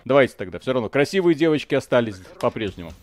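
A man's voice: mid-range voice, very animated, racing. A man hosting a live video game stream, chatting casually in slangy, joking language with mild expletives.

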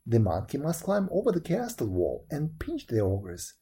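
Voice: mid-range voice, huge pitch swings, normal speed, mellow, breathy edge. A storyteller as a confident young folk-tale hero boy. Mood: commanding. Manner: confident, plain-spoken. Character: brave, cheerful young peach-born hero boy. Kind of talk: storybook character voice.

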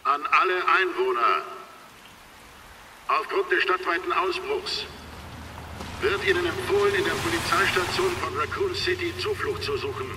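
A man playing an official emergency announcer, formally warning a city's residents in a measured way. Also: fairly high pitch, sing-song, background noise. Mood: official, urgent.

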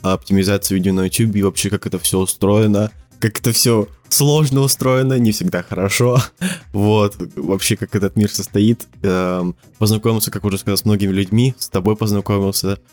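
A man's voice: lowish voice, fairly quick, darkish tone, faint music behind. A teenage man from Siberia, a podcast guest talking casually, rambling with lots of fillers and restarts and laughing often. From Siberia, Russia. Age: teens.

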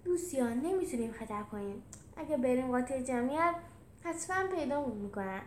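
A woman's voice: sits high, animated. A woman voicing a cautious, jumpy male animal with an anxious, questioning manner in a storybook reading. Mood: worried. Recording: roomy echo with some background noise.

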